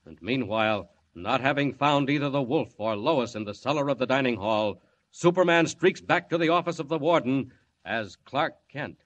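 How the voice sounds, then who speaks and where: A man's voice: medium pitch, animated, normal speed, muffled recording. Dramatic male radio serial narrator. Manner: dramatic, urgent. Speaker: narrator, announcer.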